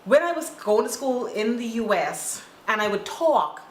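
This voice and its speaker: A woman's voice: a little high, sing-song, moderate pace. A young woman with a Bahamian accent who is co-hosting a casual talk show and scolding with emphatic, exasperated energy. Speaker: podcast co-host. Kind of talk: casual opinion chat. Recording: echoey room with faint noise.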